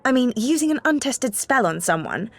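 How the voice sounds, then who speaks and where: An English actress playing a sensible, exasperated, bossy teenage girl witch in a video game, with a slightly husky voice. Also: fairly high pitch, big pitch swings, moderate pace, no room sound. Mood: reproving.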